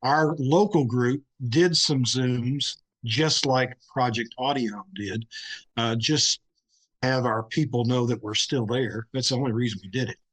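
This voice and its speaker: A man's voice: medium pitch, measured pace, a bit bright, rough recording. A man with a mid-range voice speaks as a panelist. His way of talking is plain and conversational as he explains how he works. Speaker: panelist, live radio recreation group member.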